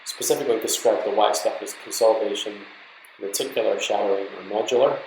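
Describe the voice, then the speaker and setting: A man lectures in a low voice with plenty of creak at the edges. He explains things step by step in an informal, instructive way, as if teaching trainee doctors from slides. The recording is roomy and echoey. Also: quick pace, a little mellow, slight background noise. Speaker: medical lecturer.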